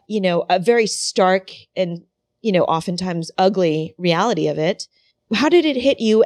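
A woman's voice: fairly low pitch, darkish tone, no echo. Empathetic woman host, dry sound. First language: Cantonese. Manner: probing, empathetic questioning. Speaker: interview host. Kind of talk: conversational interview questions.

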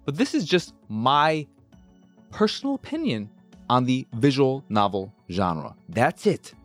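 A man's voice: mid-range voice, sing-song, unhurried, soft background music. A man hosting a video game show with casual, sweary, rambling commentary and an exasperated, self-deprecating manner.

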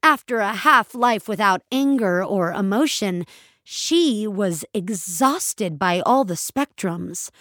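Woman, fiction narrator, measured storytelling, unhurried pace, animated intonation, dry sound. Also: medium pitch, dry recording. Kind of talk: scripted dramatic audio fiction narration.

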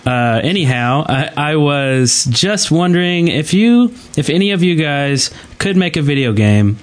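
A man's voice: fairly high pitch, expressive, measured pace. A man co-hosting a podcast in loose, casual group conversation. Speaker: podcast co-host.

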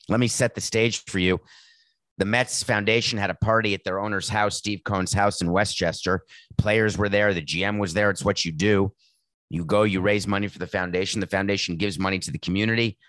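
A man hosting a sports podcast, with a raspy voice and a sardonic, mocking way of talking. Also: low voice, a bit bright, no room sound. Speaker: podcast host. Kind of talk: sarcastic sports commentary.

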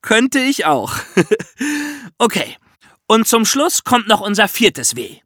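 A woman's voice: mid-range voice, sing-song, slow pace. A woman co-hosting a podcast in a casual discussion style. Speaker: podcast co-host.

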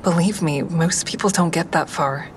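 Audio drama role for a woman: low-pitched crisis listener and narrator, calm, wry, patient, coaxing. Noisy, rumbling bed behind the voice. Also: lightly acted. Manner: measured, coaxing, dryly frank. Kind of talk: full-cast audio drama, first-person narration and dialogue.

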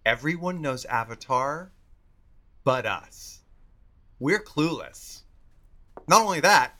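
A man playing an earnest musical writer in an audio comedy, pleading and placating, with highbrow pomposity. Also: expressive, slow, sharp tone. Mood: placating, exasperated.

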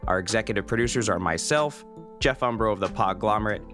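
A man with a fairly low voice hosts a podcast in a conversational style. Faint music sits behind his voice. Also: quick pace, fairly bright tone. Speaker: podcast host.

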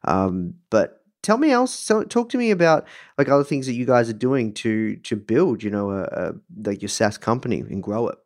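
A man hosting a casual interview, asking informal questions in a halting, searching way. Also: medium pitch, big pitch swings. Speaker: podcast interviewer, host.

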